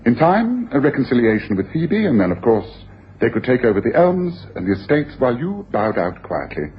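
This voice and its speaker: A man's voice: mid-range voice, big pitch swings, fairly quick, natural voice. A man acts a self-assured detective with a theatrical, domineering delivery. His voice swings widely as he makes his points, in a dull, noisy old radio broadcast. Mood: triumphant, incisive. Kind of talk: 1980s radio drama acting.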